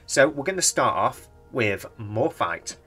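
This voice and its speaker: Young british guy rambling about game market stats, bright forward voice, bit of music underneath. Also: medium pitch, sing-song, unhurried, breathy edge. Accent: British English. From Britain. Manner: rambling, chatty, speculative. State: slightly losing his voice. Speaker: gaming market commentator. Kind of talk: casual commentary. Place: small home room indoors. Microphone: desk microphone near face.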